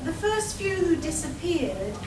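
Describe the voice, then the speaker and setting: Woman acting an evasive high-voiced village woman. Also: very animated, unhurried, a crowd in the background, full character voice. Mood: ominous storytelling. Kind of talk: live comedic radio play acting. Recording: echoey hall, far mic, noisy.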